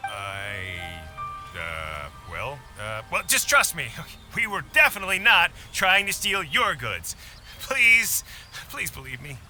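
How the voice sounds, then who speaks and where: A stammering cowardly bandit. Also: male voice, a little high, sing-song, slow pace, sharp tone, soft background music, full character voice. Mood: pleading. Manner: stammering, nervous, pleading. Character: cowardly male bandit caught lying.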